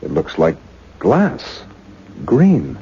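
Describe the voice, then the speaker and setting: Male, eager young student assistant in radio drama; lively swooping pitch, dark tone, lo-fi with faint noise. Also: drawn-out words, heavily acted. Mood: curious, practical. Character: keen young male science student assisting his professor. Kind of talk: radio play acting.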